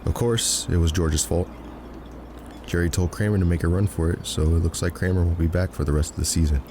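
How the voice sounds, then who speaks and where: A man's voice: deep voice, fairly even intonation, a little fast, noisy background. A man acting a guarded, world-weary covert operative in an audio drama, with a laconic, dry delivery. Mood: guarded, coded.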